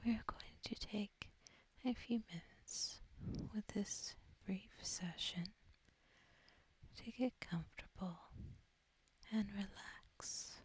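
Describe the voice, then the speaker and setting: A woman softly whispering a guided sleep hypnosis in a breathy, husky voice, gentle and soothing, with long deliberate pauses. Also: mid-range voice, poor audio. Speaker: ASMR host guiding sleep hypnosis. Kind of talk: soft-spoken ASMR guided relaxation.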